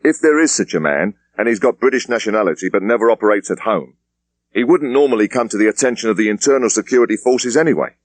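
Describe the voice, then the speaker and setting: A man's voice: fairly low pitch, lively intonation, fast, no room sound, voice pushed up. A man voicing a young British detective inspector, careful and respectful, in an audiobook. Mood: thoughtful, suggesting.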